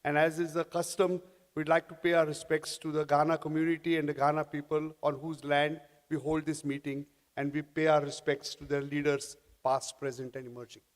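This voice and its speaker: A man's voice: on the high side, level tone. Man in his sixties, echoing hall. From India. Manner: halting, many restarts. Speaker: panel speaker. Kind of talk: conference talk. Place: large conference hall. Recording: long hall reverb.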